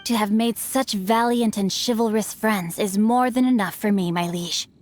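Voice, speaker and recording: A woman's voice: medium pitch, fairly even intonation, moderate pace, darkish tone, soft background music, natural voice. A woman voicing a witty, bookish young male heir in a game cutscene, speaking formally with dry, teasing wit.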